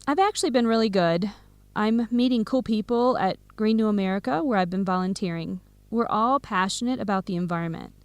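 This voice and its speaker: An actress playing an outspoken, protective young best friend in an educational radio drama, speaking frankly and emphatically. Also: female voice, medium pitch, a little fast, no echo, lightly acted. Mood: upbeat, earnest. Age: young adult.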